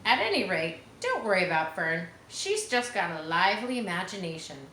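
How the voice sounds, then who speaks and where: A woman's voice: lowish voice, sing-song, moderate pace, bright, forceful tone, roomy sound, a little hiss or hum. A woman reading the part of an easygoing, amused farm father in a storybook, with a reassuring manner.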